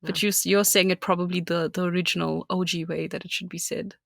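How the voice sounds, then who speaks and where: A South African woman co-hosting a podcast, speaking candidly and laughing often. Her voice carries a gravelly fry. The sound is close and dry, with no room around it. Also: on the low side, little pitch movement, quick pace, no room sound. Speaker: podcast co-host. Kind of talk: casual chat.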